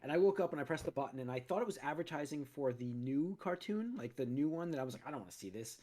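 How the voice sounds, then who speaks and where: A man hosting a movie podcast in casual chat, a little raspy as he gets over a cold, rambling with lots of restarts and fillers. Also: a little high, lively intonation, quick pace, mellow. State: recovering from a cold, slightly raspy. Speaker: podcast host.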